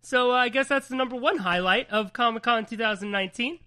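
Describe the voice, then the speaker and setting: A woman's voice: on the high side, quick pace, sharp tone. A woman co-hosting a casual podcast, rambling and swearing freely, with a congested voice from a recent cold. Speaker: podcast co-host.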